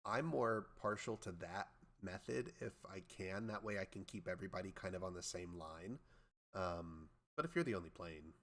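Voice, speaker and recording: Low-voiced man chatting casually. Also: normal speed, fairly bright tone. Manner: informal, thinking aloud, imitates beeps and radio calls. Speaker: air traffic controller answering viewer questions. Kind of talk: casual chat, reading and answering messages.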